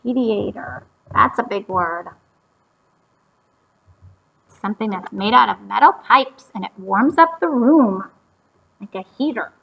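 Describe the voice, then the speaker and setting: A woman reading a story aloud to young children, pausing to explain and ask them simple questions. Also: mid-range voice, vocal fry, breathy edge, dull audio.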